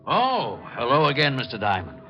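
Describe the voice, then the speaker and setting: A man's voice: mid-range voice, huge pitch swings, moderate pace, soft background music. A man playing a fussy, hesitant, deferential department store official in a 1940s radio drama. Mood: chatty. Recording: dull vintage radio recording.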